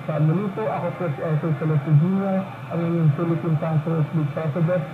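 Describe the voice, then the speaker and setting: A man's voice: on the high side, little pitch movement, fast talker, noisy background. Filipino man, tinny echoey radio speech. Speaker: local government official. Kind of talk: formal New Year greeting speech.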